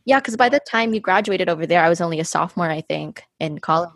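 A woman's voice: fairly low pitch, animated, fast talker, a bit bright, dry recording, studio quality. A woman in her twenties from the United States, hosting a casual podcast chat, talking loosely with many ums and likes. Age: 20s. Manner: chatty, many ums and likes, thinking aloud. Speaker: podcast host.

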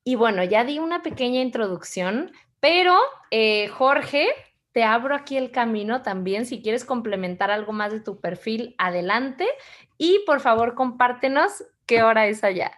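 A woman co-hosting a podcast over a video call. Her voice sits fairly high, and her delivery is upbeat and chatty as she reads an introduction and asks questions. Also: expressive, normal speed, a bit bright. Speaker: podcast co-host. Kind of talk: reading a guest introduction, then interviewing.

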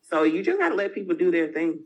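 A woman's voice: on the low side, big pitch swings, quick pace, a little mellow, highs cut off. A woman entrepreneur talking casually as an interview guest, speaking frankly with slang and the odd curse. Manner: candid, frank, uses slang and mild cursing.

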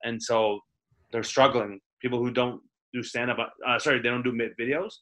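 A young man from the Toronto area talks about his comedy career in a loose, rambling way, full of restarts and casual swearing. His voice is bright and forward. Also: medium pitch, steady tone, normal speed. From Toronto area, Canada. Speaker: stand-up comedian guest. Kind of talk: casual interview chat.

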